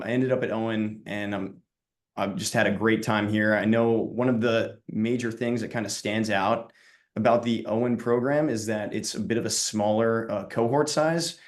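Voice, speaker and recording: A young man from Long Island, New York, introducing himself as a student panelist on a video call, relaxed with many fillers. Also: mid-range voice. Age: young adult.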